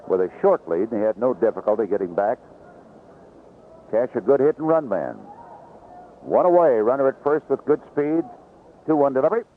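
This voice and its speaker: Lively baseball announcer on noisy vintage radio, male. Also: medium pitch, phone-quality sound. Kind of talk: live radio sports commentary. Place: outdoor ballpark broadcast position.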